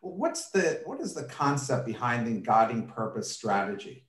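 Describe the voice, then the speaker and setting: A man's voice: mid-range voice, sing-song, normal speed, a bit bright. A middle-aged man hosting an interview over a video call, reading friendly introductions and asking questions. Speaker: podcast host. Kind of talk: interview host. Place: office indoors. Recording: roomy echo on a video call.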